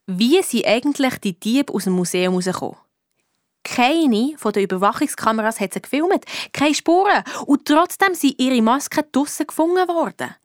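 A woman's voice: on the high side, no room sound, studio quality, lightly acted. A female performer with a Swiss German accent playing a young girl detective who tells her case in colloquial first-person narration. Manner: colloquial storytelling. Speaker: narrator of a detective radio play.

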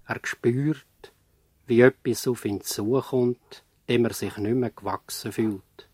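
A man narrating a radio play as an earnest commentator, in deliberate, emphatic short phrases. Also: medium pitch, steady tone, warm tone, breathy edge. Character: earnest, probing narrator-commentator of a medical drama.

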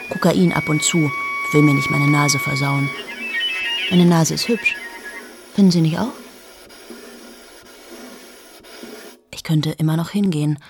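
An actress playing a cool, self-absorbed journalist in her late thirties in an intimate radio play monologue, speaking in a hushed, deliberate way that often drops towards a whisper. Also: on the low side, close to an everyday voice. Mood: cool, restless. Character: 38-year-old female journalist, cool, amoral, confessional and self-absorbed.

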